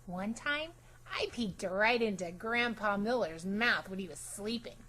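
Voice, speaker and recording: A woman voicing a chatty, imaginative, bossy little girl in an animated children's storybook read-aloud, with childish, emphatic phrasing. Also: medium pitch, a little slow. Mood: chatty, scheming.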